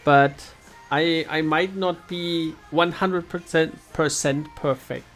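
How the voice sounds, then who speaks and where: German streamer, chatty and halting. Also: male voice, on the high side, fairly even intonation, fairly bright tone, faint music behind. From Germany, Bavaria. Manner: hesitant, restarts sentences, chuckles often. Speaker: game streamer. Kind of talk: casual stream chat.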